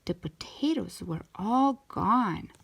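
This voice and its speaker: A woman's voice: mid-range voice, lively intonation, slow, gravelly, fairly bright tone, slight background noise. A woman narrating a children's book aloud as a storytime reader.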